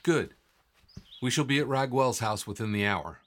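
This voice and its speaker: An actor playing a calm, wise and assured guide in an audio drama, instructive and encouraging in manner. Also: male voice, mid-range voice, normal speed, close to an everyday voice. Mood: assured, urging. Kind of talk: scripted audio drama acting.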